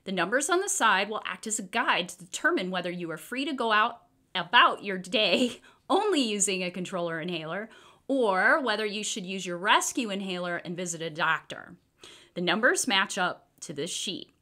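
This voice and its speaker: Woman as a friendly fanged pharmacist lady, storybook, instructive, bright, animated pitch. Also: normal speed. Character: nice female pharmacist with fangs, helpful and instructive.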